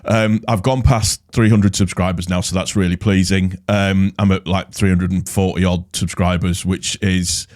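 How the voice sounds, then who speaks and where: A man's voice: deep voice, steady tone, normal speed, few pauses, a little mellow. A man with a cold, talking casually and rambling through his football fan channel, exasperated with rival fans. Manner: chatty, rambling, exasperated when mocking rivals. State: stuffy with a cold. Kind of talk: casual solo vlog commentary.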